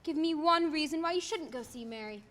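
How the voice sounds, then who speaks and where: A woman's voice: sits high, fairly quick, fairly bright tone. A woman playing a fond, matchmaking mother who nags her son in a radio play, in an echoey hall. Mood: insistent. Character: fond, nagging, matchmaking middle-aged mother.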